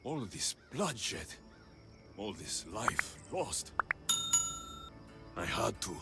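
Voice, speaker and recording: Stern warrior, animated pitch. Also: male voice, extremely slow, over music, exaggerated voice. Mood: grave. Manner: terse, earnest, grave. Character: stern, resolute warrior man, guarded and defiant. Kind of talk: video game voice acting.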